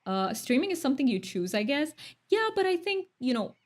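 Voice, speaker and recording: A woman's voice: high-pitched, very animated, a little fast. Chatty woman streamer. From Romania. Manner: chatty, thoughtful, laughs often. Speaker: streamer reading chat. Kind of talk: casual chat.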